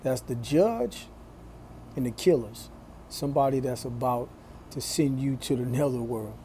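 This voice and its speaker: A man in his forties talking to his phone camera in a small room, slow and deliberate, with a preachy tone. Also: mid-range voice, expressive, warm tone, slight background noise. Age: 40s. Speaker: vlogger. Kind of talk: casual talk to camera.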